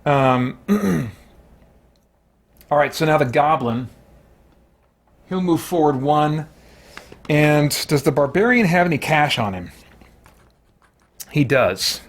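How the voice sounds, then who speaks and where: A man's voice: fairly high pitch, animated, takes it slowly, pauses often. Man casually narrating his solo tabletop game. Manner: mild exclamations, chatty self-talk. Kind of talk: casual play-by-play commentary, thinking aloud.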